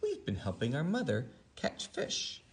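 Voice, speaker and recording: A man's voice: medium pitch, huge pitch swings, measured pace, slight background noise, pitched above the performer's own voice. A man voicing a friendly young grizzly bear cub in a bedtime storybook, enthusiastic and inviting. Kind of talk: bedtime storybook read-aloud.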